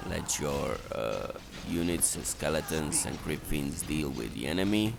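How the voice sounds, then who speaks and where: A man giving halting, matter-of-fact, instructional commentary on a video game strategy. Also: a bass voice, lively intonation, gravelly, noisy background.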